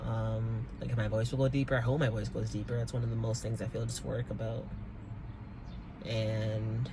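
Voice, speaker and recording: A man's voice: on the low side, level tone, moderate pace. A young man talking in a rambling, hesitant way, with many fillers and casual swearing, recording a personal vlog from inside his car. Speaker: vlogger. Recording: car noise in background.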